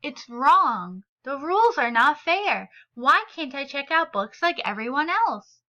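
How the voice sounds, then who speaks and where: An earnest young storybook boy played by a woman reading aloud, politely insistent and a little plaintive. The voice is high and bright, with slow, careful delivery. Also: big pitch swings, lo-fi sound, exaggerated voice. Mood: earnest protest. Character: earnest, determined young boy standing his ground.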